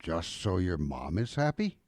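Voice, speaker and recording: An actor playing a grumpy old man who is softening, in a Christmas radio drama. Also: deep voice, very animated, a little slow, no room sound, clean studio sound, full character voice. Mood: gruff but softening.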